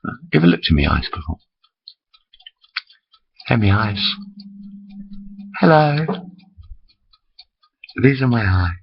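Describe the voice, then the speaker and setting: A man's voice: mid-range voice, very animated, slow pace, gravelly, pauses often, slightly rough, highs cut off. A man in his forties rambling chattily to his webcam, interrupting himself often. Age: 40s. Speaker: vlogger. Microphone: built-in computer camera mic.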